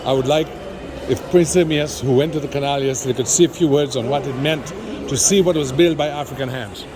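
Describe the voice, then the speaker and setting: A man's voice: medium pitch, brisk. A man interviewing guests, prompting them with enthusiastic questions. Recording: outdoor street noise.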